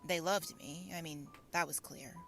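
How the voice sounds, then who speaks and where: A woman's voice: deep voice, steady tone, moderate pace, fairly bright tone. A woman playing a wry young female fairy in an audio drama, matter-of-fact about her hurt. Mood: wistful, matter-of-fact. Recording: music under the voice.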